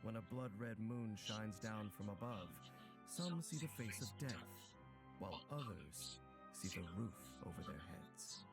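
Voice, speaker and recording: A man delivering scripted game trailer narration in a measured, formal storytelling manner. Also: lowish voice, lively intonation, vocal fry. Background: music behind the voice.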